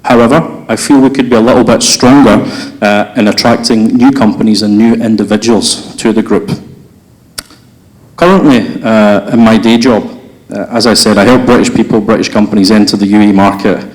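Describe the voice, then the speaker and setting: Man with a lowish voice, campaign speech at a business evening, earnest, filler-heavy. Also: fairly even intonation, moderate pace, darkish tone. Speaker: election candidate. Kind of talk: prepared hustings speech. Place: hotel function room.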